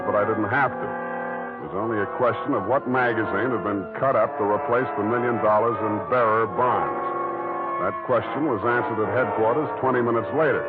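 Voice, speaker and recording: An actor playing a hard-boiled, wisecracking private detective, narrating wryly in the style of 1940s radio drama. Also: male voice, fairly low pitch, lightly acted. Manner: wry, tongue-in-cheek first-person narrating. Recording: tinny 1940s radio recording, music underneath.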